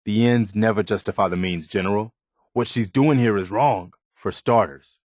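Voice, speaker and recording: An American actor playing an earnest, principled young militia companion in a post-apocalyptic video game, speaking in a plain-spoken, measured way. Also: male voice, lowish voice, darkish tone, no echo, clean studio sound, lightly acted. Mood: disapproving.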